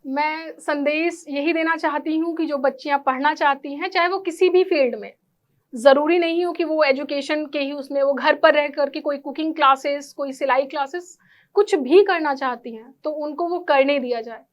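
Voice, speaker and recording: A woman's voice: high voice, moderate pace, highs cut off. A woman giving formal interview answers in an earnest manner, mixing in English words. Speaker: interview guest, architect and NGO volunteer.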